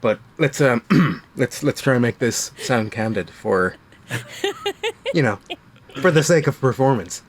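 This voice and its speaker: A man's voice: medium pitch, expressive, a little slow. Dry-witted Irish man co-host. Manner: dry, teasing wit. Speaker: podcast co-host. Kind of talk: casual chat.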